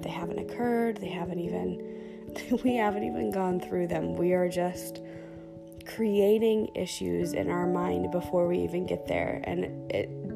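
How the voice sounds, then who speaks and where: A woman hosting a podcast, talking softly through a calm guided self-talk session, her voice scratchy from a sore throat. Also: on the low side, vocal fry. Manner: soft-spoken, chatty with fillers. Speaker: podcast host. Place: dark room at home under blankets.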